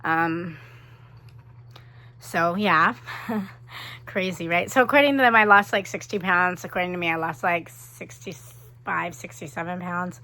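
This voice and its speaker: Bright-voiced chatty woman, sick with a cold. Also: on the low side. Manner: chatty, animated, quick asides. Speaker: vlogger. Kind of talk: casual chat to camera.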